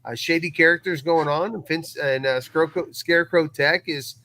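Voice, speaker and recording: A man as a guest in a casual live recap chat, thinking aloud in a halting way and repeating words. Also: a little high, fairly even intonation, sharp tone, slight background noise.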